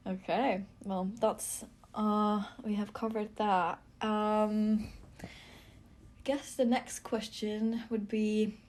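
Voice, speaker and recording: A young woman from Latvia co-hosting a podcast, chatty and quick to laugh. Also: medium pitch. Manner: chatty, laughs often, lively asides. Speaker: co-host. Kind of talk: casual chat.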